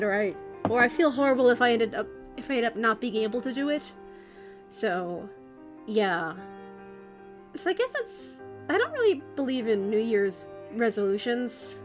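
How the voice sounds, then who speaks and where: A woman streamer chatting casually at her computer, rambling and restarting her sentences, her voice going hoarse. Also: fairly high pitch, very animated, a little fast, music in the background. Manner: rambling, self-interrupting, many restarts. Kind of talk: casual livestream chat.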